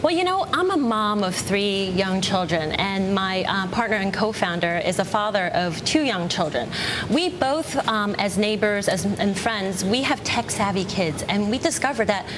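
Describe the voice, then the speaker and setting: A woman's voice: lively intonation, moderate pace. A woman in her thirties with an American accent, answering questions as an entrepreneur guest in a broadcast interview. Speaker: guest entrepreneur, company co-founder. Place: open TV studio beside a newsroom. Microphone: lapel. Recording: clear background rumble and noise.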